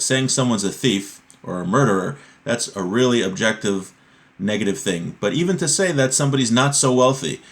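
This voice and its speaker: A man giving an informal religious lesson, reading a text aloud and explaining it step by step, often checking that listeners follow. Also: medium pitch. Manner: explanatory, checks understanding with rhetorical tags like "right?".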